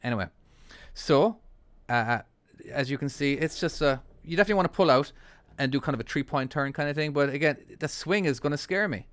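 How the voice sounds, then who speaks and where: A man's voice: on the high side, brisk, fairly bright tone, dry recording. A man with an Irish accent hosting his own channel, chatting casually and laughing often while narrating a truck-driving game. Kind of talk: casual gameplay commentary.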